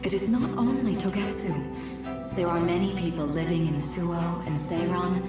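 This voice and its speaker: A woman voicing a machine that poses as a mother in a video game, solemn, measured and coaxing, with reverb on her voice. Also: fairly low pitch, fairly even intonation, loud music behind the voice. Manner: slow, solemn, measured pleading. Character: machine posing as a dead mother, serene and coaxing. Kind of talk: dramatic video game voice acting. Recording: heavily echoing, distant-sounding game audio.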